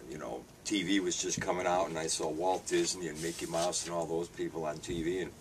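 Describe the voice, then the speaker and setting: A man's voice: low voice, steady tone, fairly quick. A man in his fifties from Hungary, answering interview questions hesitantly, with many fillers and restarts. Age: 50s. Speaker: street paper vendor and artist. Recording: distant mic with faint background noise.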